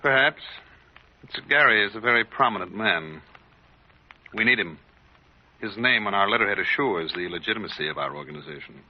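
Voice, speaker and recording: A crooked American boss from a 1940s radio crime drama, smug and condescending. His low voice moves with lively emphasis and brisk self-assurance. The recording is dull and muffled, like vintage radio. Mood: brisk, assured. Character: smug, crooked male boss of a charity racket.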